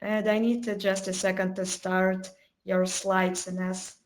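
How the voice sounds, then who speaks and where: A woman moderating an online panel, calmly introducing the next speaker. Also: mid-range voice, slow pace.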